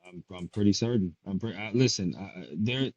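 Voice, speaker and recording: A man's voice: lowish voice, moderate pace, vocal fry, a little mellow, breathy edge. A man hosting a casual podcast interview, firing off playful questions with some slang. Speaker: podcast host. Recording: dull lo-fi sound.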